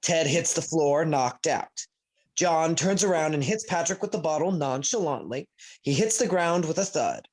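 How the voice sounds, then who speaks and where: A narrator reading stage directions aloud at a comedy table read in a husky voice. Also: mid-range voice, animated, normal speed. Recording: video call.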